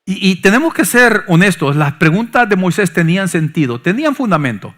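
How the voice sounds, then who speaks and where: A middle-aged pastor, preaching a sermon that swings from forceful emphasis to soft, low asides. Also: male voice, very animated, fairly quick. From Honduras. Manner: preaching with sudden soft drops and rising emphasis.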